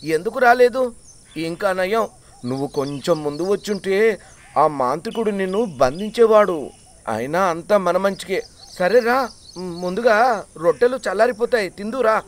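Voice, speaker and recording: Man playing a high-voiced, earnest village farmer. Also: lively intonation, moderate pace, pauses often. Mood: friendly, concerned. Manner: earnest, pleading. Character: honest, kind, timid village farmer. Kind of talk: acted cartoon moral tale.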